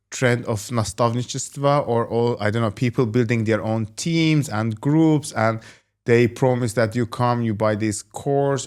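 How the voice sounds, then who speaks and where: A man's voice: lowish voice, smooth. A man hosting an interview, asking long, rambling questions full of "you know" and "like". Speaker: host and company executive. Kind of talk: interview host.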